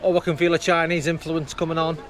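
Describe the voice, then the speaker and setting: A man's voice: a little high, flat delivery, fast. A man vlogging with casual walk-and-talk commentary, rambling and musing aloud as he goes. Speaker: vlogger presenting a theme park walk. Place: outdoors in a theme park. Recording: music behind, outdoor vlog. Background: music.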